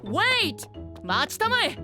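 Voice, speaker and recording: A man playing a flamboyant, pompous young gentleman knight in an anime audio drama, dropping English exclamations theatrically. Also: extremely high pitch, wildly swooping pitch, a little slow, soft background music, exaggerated voice. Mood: excited, dramatic.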